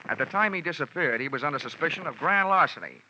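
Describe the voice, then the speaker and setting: An actor playing a cynical, quippy insurance investigator in a 1940s radio detective drama. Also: male voice, a little high, animated, quick pace, bright, forceful tone, slight background noise. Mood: wry, brisk. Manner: sardonic, quippy. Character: wisecracking, cynical freelance male insurance investigator. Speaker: radio drama lead and narrator. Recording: muffled old radio broadcast, music behind.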